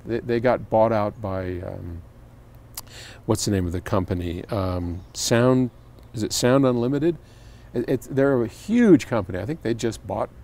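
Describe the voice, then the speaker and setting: A man's voice: on the low side, dark tone. An older man talking casually to the camera outdoors, answering a viewer's question in a chatty, rambling way with lots of fillers and restarts. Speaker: audio company founder answering viewer questions. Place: outdoors on stone steps by a garden.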